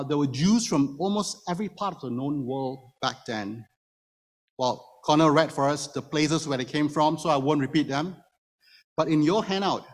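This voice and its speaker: A man who is a native Hokkien speaker, preaching an explanatory sermon to a congregation and putting rhetorical questions to his listeners. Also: on the high side. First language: Hokkien Chinese. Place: church room before a congregation. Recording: reverberant hall, long echo.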